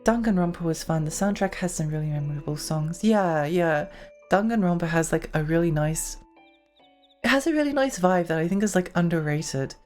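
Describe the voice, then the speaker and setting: A young woman streaming a game, chatting in a rambling way with lots of fillers and giggles, her voice getting hoarse. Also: lowish voice, animated, normal speed, darkish tone, faint music behind. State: losing her voice. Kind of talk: casual live-stream chat while gaming.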